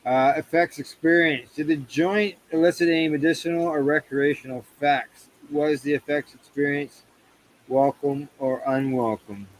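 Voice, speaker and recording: A man's voice: fairly high pitch, steady tone, normal speed, rough recording. A man hosting a casual panel chat, reading out judging questions and often stumbling and restarting his words. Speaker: show host.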